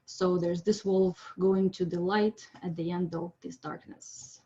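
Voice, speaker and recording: A woman from Siberia, giving a friendly, chatty artist's talk on a video call, pausing with ums. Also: on the low side, steady tone, dark tone, muffled recording, a little hiss or hum. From Siberia, Russia. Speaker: presenting artist. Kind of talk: informal online presentation.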